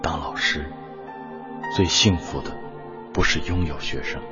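A man's voice: extremely low pitch, unhurried. A man playing a devoted volunteer teacher in a radio drama, telling his story in an earnest, reflective, emotive way. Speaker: narrator and lead character. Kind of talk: dramatic radio-play narration and dialogue. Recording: muffled radio drama under prominent music.

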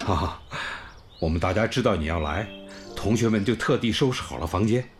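Casting: male actor as welcoming, apologetic rural school principal for radio drama, very animated melody, music underneath. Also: on the low side, fairly quick, close to an everyday voice. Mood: earnest, gentle. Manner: courteous, welcoming. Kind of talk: Chinese radio drama acting. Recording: music bed behind the voice.

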